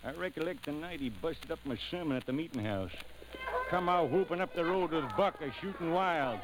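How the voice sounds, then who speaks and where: Male actor as backwoods preacher storyteller: folksy Southern US dialect, high pitch, noisy vintage radio drama. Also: a little fast, natural voice. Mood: reminiscing, disapproving. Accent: rural Southern US. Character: folksy, disapproving backwoods preacher telling a tale. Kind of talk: old radio drama narration.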